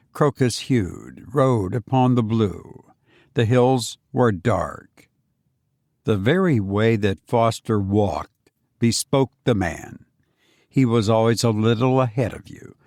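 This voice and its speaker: A man narrating a literary horror story as an audiobook, in a deliberate, expressive, dramatic way. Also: mid-range voice, no room sound, clean studio sound.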